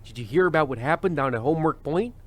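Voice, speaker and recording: This is a man acting as a prim, worried male TV host past his peak, in an old-style radio comedy. The voice is fairly high and jumps around in pitch. He sounds earnest and fretful, often hesitating. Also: expressive, quick pace. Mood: alarmed. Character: anxious, prim, fading male variety show host.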